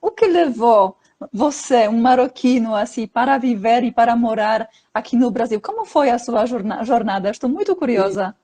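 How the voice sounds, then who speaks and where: A woman's voice: fairly high pitch, normal speed, mellow. A woman interviewing a guest, asking questions and affirming his answers with encouragement.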